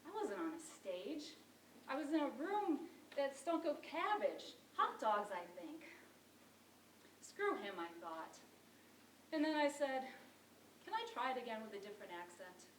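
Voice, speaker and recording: A woman's voice: high-pitched, big pitch swings, unhurried, long pauses. A woman performing a wry, exasperated struggling actress in a theatrical monologue, telling her story with dramatic emphasis. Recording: roomy distant mic, faint noise.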